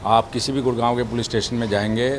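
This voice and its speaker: A man with a mid-pitched voice gives an official statement for the news. He explains things in unscripted speech sprinkled with English, with faint urban noise behind him. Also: level tone, fast. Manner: explanatory, mixes English words and phrases into speech. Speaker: police official. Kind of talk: spoken statement to news media.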